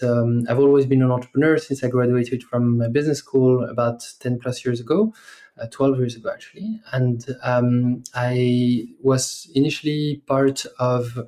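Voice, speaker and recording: Man, French accent, thirties, dark mellow tone, flat delivery, lots of ums, podcast guest. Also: medium pitch. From France. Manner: measured, hesitant with many fillers. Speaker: guest, startup investor. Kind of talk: podcast interview.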